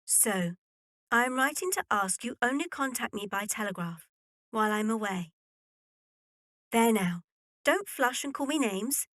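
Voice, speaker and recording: A woman's voice: on the high side, expressive, a little slow, pauses often, fairly bright tone, dry recording, studio quality. A woman playing a self-assured young heiress with a formal, decided way of speaking. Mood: brisk.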